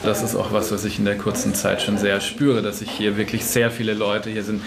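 A man speaking casually and thoughtfully as an interviewee, a little halting. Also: fairly low pitch, level tone, gravelly, over music. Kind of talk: casual reflective talk. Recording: distant mic with crowd around. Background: crowd chatter.

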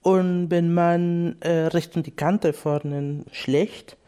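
A woman speaks in a mellow, warm voice. She explains casually and playfully, searching for words in a language that is not her first. Her German has small grammar slips. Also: on the low side, fairly even intonation, clear, resonant tone. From Peru. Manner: halting, non-native grammar, playful. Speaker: musician, interviewee.

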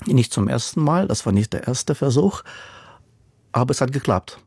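A man's voice: medium pitch, animated, normal speed, vocal fry, darkish tone, breathy edge, no room sound, studio quality. A man whose first language is not German, speaking as an author in a radio interview, thoughtful and given to repeating words for emphasis.

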